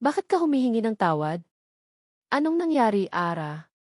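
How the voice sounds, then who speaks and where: A woman's voice: fairly high pitch, big pitch swings, normal speed, darkish tone, dry recording, clean studio sound. A woman voicing a concerned, protective young man in a romance story. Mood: urgent concern.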